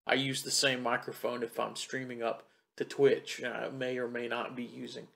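A middle-aged man giving a casual step-by-step gear tutorial in a halting, think-aloud way, recorded at a home desk on a desktop mic about two feet away. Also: medium pitch, flat delivery, gravelly, a bit bright. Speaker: tech tutorial presenter. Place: home computer desk in a wood-panelled room. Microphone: desktop condenser mic about two feet away.

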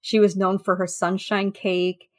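A woman guest in a conversational podcast interview, telling anecdotes in a halting, thinking-aloud way. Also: medium pitch, little pitch movement. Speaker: interview guest, food history researcher.

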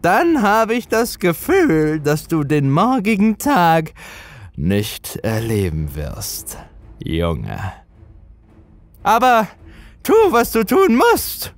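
A man voicing a gloating, manipulative older villain in a horror story, with a taunting, drawn-out delivery. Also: high-pitched, huge pitch swings, pitched above the performer's own voice, heavily acted. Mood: menacing. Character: sinister mocking older man, gloating manipulative dealmaker with supernatural power.

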